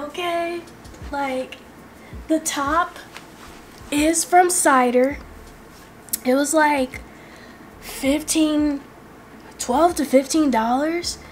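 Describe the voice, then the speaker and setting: A woman in her twenties, hoarse from losing her voice, chatting casually to her viewers in a vlog. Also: high-pitched, a little hiss or hum. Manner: chatty, drawn-out filler words. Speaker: vlogger. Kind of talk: casual chat to camera.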